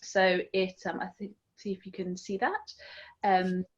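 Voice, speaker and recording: A woman's voice: mid-range voice, fairly even intonation, unhurried. Hesitant woman host on muffled video call. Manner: hesitant, many ums. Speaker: museum host. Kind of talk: hosting an interview.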